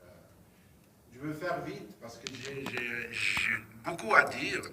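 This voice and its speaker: A man's voice: mid-range voice, big pitch swings, slow pace, background noise. A man whose first language is Arabic gives a panel speech in a second language, hesitant, with many fillers and repeated words. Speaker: vice president of a victims' association.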